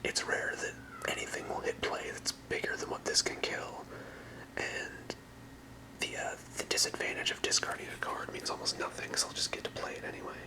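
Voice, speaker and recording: A person whispering in ASMR style, explaining things softly and without hurry as a creator presenting to viewers. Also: low-quality recording. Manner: soft, unhurried explaining in whispers. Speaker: ASMR creator presenting a trading card deck.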